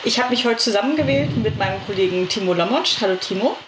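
A woman's voice: mid-range voice, expressive, fast. A woman hosting a podcast, casually welcoming and questioning her guests in a conversational way. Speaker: podcast host. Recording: roomy sound with clear background noise.

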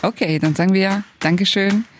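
A woman's voice: medium pitch, steady tone, moderate pace, a little hiss or hum. A woman hosting a conversational podcast interview in an effusive, complimentary manner. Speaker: podcast host.

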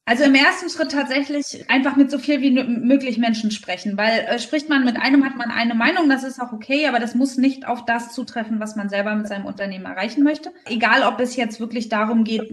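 A woman with a fairly high voice, recorded at a distance from the mic in an echoey room. She answers interview questions conversationally as a founder, stressing her points by repeating words. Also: brisk, few pauses. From Berlin, Germany. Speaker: startup founder being interviewed.

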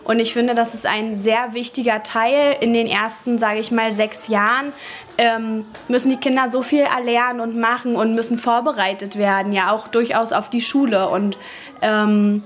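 Earnest woman nursery teacher, slightly high. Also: steady tone, normal speed. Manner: earnest, many ähm fillers. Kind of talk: interview answers. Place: daycare centre.

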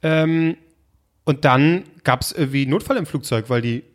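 Chatty man with a slightly high voice. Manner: chatty, quick reactions and sound effects like "zack". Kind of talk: casual chat.

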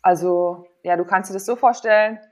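A woman's voice: mid-range voice, moderate pace. A woman in her thirties talking informally and candidly as an interview guest, thinking aloud as she tells personal stories. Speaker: interview guest, former athlete and sports psychologist. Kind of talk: casual personal storytelling in an interview.

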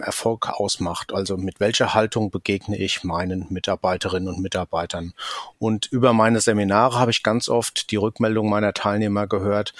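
Man, 50s, Hessian accent, coach, calm explaining, some fillers, very dry recording. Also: fairly low pitch, no room sound. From Rhine-Main area, Germany. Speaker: guest, leadership coach. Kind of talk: podcast interview.

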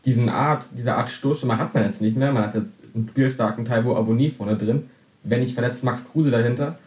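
A man born in Berlin, a guest on a football podcast, giving brisk, factual analysis in short clipped sentences. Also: medium pitch, a little mellow, echoey room. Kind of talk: casual football podcast chat.

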